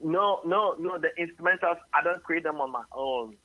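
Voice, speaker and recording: A Ghanaian singer-songwriter answering radio interview questions at length, often adding "you know". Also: male voice, a little high, moderate pace, no echo, phone-quality sound. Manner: rambling, tags sentences with "you know". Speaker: singer-songwriter and label head.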